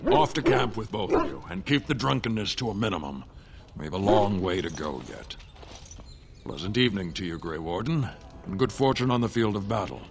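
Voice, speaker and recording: A dwarven commander, low voice, swooping intonation, gruff, formal, fantasy game. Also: male voice, big pitch swings, brisk. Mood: firm, cordial. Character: gruff, courteous male dwarf commander. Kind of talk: fantasy video game dialogue.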